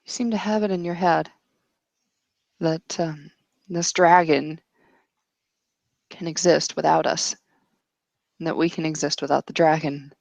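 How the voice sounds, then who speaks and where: A woman playing an intense, stubborn fae woman in a tabletop roleplay, speaking deliberately and earnestly. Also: low voice, fairly even intonation, vocal fry, darkish tone, no room sound. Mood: insistent. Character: intense fiery fae woman, formerly human, brooding and stubborn.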